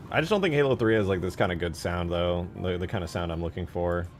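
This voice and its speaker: Deep-voiced man chatting casually over music. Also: quick pace. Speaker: game modder streaming his work. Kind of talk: casual livestream chat while working. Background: background music.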